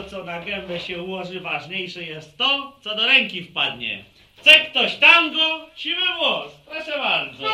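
An actor playing a cocky, money-minded young village musician in a radio drama, wry and boastful. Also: male voice, sits high. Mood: showy, barking. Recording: roomy, distant, faintly hissy radio recording.

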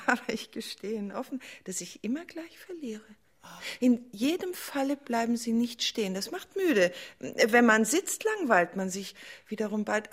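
An actress playing a coquettish, chattering queen in a German radio play, seductive and mock-innocent, slipping into breathy, intimate asides. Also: a little high, exaggerated voice. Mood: coy, playful.

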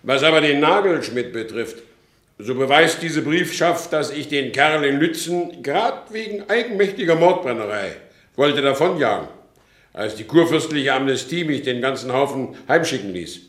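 A man's voice: mid-range voice, animated, normal speed, a bit bright, lightly acted. Man acting a stubborn horse dealer, roomy sound. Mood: defensive, composed. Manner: formal, deferential yet insistent. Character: wronged horse dealer, courteous but stubborn male petitioner. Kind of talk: German radio drama acting, formal period speech. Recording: roomy studio radio drama recording.

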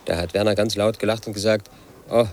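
A man's voice: fairly low pitch, fairly even intonation, fairly quick, a little mellow, close to an everyday voice. An actor in his late thirties playing a lonely, suspicious house painter, speaking a bitter inner monologue in a radio drama. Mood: brooding. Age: late 30s. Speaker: protagonist narrating his life.

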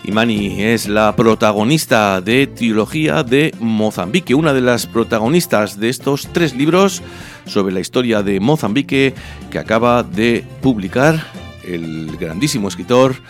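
Man, low voice, radio presenter, deliberate delivery, faint music underneath. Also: lively intonation. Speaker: radio host.

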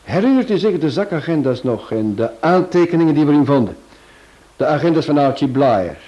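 An analytical detective, played by a man in an old radio play. He reasons in a measured, mellow voice with a lively pitch. The sound is dull and lo-fi. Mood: explaining. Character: clever male detective, analytical. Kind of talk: vintage radio play acting.

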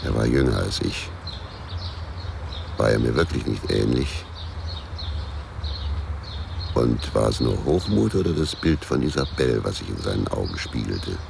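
A man's voice: very deep voice, animated, moderate pace, vocal fry, heavily acted. An actor playing a bitter, brooding abandoned husband who tells his own story tersely in a German radio crime drama. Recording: noisy, with ambient sound.

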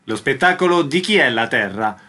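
A man's voice: medium pitch, animated, normal speed, pushed and bright. A man hosting a radio show, reading out listings and introducing a guest. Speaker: radio host. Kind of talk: scripted radio announcing and interviewing.

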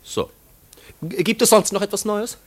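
An actor playing a flamboyant marquis who hosts a grand masked ball, speaking grandly and theatrically in 1950s German radio drama style. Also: male voice, sits high, moderate pace, natural voice. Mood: impatient, self-pleased. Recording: 1950s radio production, music and crowd behind.

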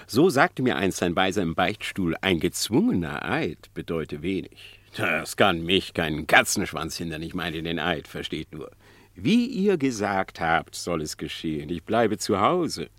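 A man playing a sly, flattering fox in a radio play, with a theatrical, wheedling, unctuous delivery. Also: low voice, full character voice. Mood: smug. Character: sly, flattering male fox, scheming husband and uncle. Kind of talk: German radio play verse drama.

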